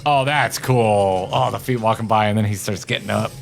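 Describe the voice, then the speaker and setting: Excitable man giving casual, opinionated game reactions. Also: fairly low pitch, moderate pace, creaky, over music. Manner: excitable, opinionated, informal slangy talk. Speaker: co-host of a video game reaction show.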